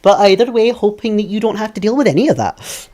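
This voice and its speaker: A man's voice: sits high, lively intonation, fast, dark tone. A young Scottish man streaming a puzzle game, chatting with viewers, thinking aloud and laughing often. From Scotland. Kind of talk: live game stream chat.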